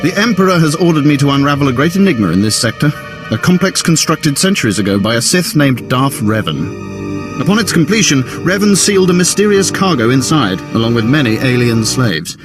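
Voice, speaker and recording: A man's voice: medium pitch, big pitch swings, a little fast, natural voice. Man voicing sci-fi general, formal, courteous, dutiful, declamatory, video game, music underneath. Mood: earnest, respectful. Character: formal Imperial general, dutiful mission leader.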